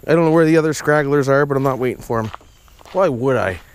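A man's voice: medium pitch, fast talker. A man with a rural Ontario Canadian accent vlogging casually outdoors, with folksy, repetitive slangy tag questions. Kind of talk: casual vlog chat to camera. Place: outdoors at a campground, trail and lake. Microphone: handheld action camera. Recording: windy, noisy outdoor camera audio.

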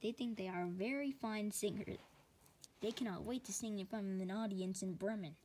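Woman reading a children's storybook, haltingly. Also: mid-range voice, dark tone, a little hiss or hum.

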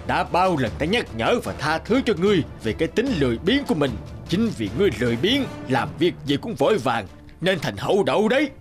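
An actor voicing a stern old emperor of heaven in an animated fairy tale, commanding and scolding in manner. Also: male voice, on the high side, brisk. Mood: angry, scolding. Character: stern old Jade Emperor, imperious male ruler of heaven. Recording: music behind the voice.

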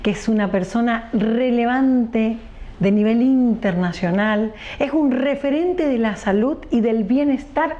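A woman hosting a television interview, her voice mellow and dark. She praises her guest in an effusive, emphatic way. The sound is slightly dull and lo-fi. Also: on the high side, unhurried. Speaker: TV host. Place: studio living-room set.

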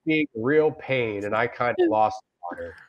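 A man's voice: fairly low pitch, lively intonation, moderate pace, dull audio. Man rambling and reading comically, noisy call. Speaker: comedian guest. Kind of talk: casual chat and comic reading aloud of written reviews. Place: indoors on a group video call.

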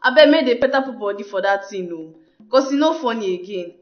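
A woman's voice: on the high side, lively intonation, fast talker, close to an everyday voice. A woman with a Nigerian accent playing a young village woman in a Pidgin radio drama. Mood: weary, complaining. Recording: muffled, roomy, music behind.

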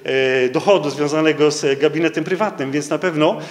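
A middle-aged man giving a conference talk at a stand microphone. He reads legal passages aloud in a halting way, with many fillers and restarts. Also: fairly high pitch, fairly even intonation. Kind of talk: conference lecture reading out court rulings. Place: conference hall, indoors, standing at a lectern. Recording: echoey hall, long reverb.

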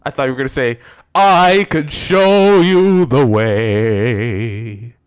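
A man's voice: mid-range voice, slow, smooth, soft background music. A young man hosting a reaction video from his room, joking and laid-back, talking into a desk mic with a headset on. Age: young adult. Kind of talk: casual group reaction chat. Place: home room, seated in gaming chair.

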